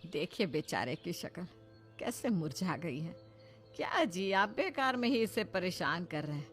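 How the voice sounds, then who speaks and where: A woman's voice: on the low side, sing-song, unhurried, music in the background. A woman voicing a doting, naive older mother-in-law in a motherly, soothing manner for a cartoon. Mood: fond, chiding. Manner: soothing, simple-minded, motherly. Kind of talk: cartoon voice acting.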